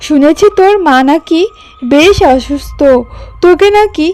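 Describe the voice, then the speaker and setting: Lady doing a sneaky tigress neighbour, high voice, nosy and pushy, bit of music behind. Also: unhurried, darkish tone, no room sound. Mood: feigned concern. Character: sly, deceitful tigress neighbour, feigning concern.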